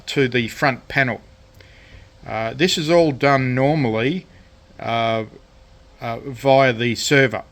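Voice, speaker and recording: Man, mid-pitched, casual DIY tutorial, hesitant, halting, frequent pauses, unscripted. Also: a bit bright. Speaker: hobbyist presenter of a DIY electronics video. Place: indoors at a cluttered radio workbench.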